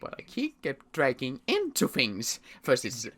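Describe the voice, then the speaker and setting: A player acting as a wary ex-military sniper in a zombie game roleplay. The voice is bright and forceful, swinging up and down in pitch as the character grumbles. Delivery is halting and exasperated, with little trust for anyone. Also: huge pitch swings, slight background noise. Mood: frustrated. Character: gruff, distrustful ex-military sniper, easily frustrated. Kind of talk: improvised Minecraft zombie roleplay.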